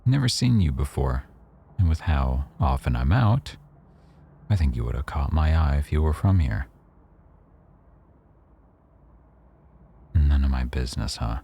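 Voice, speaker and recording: A man playing a rough-edged mafia boss who owns a cafe, teasing, probing and quietly threatening, in a boyfriend ASMR roleplay. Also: very deep voice, sing-song, moderate pace, a little hiss or hum, full character voice. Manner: teasing, probing, smugly self-assured.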